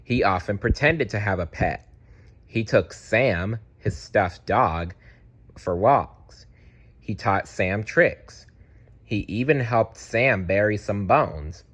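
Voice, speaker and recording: A man narrating a children's storybook aloud in an expressive, deliberate way. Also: fairly low pitch, breathy edge.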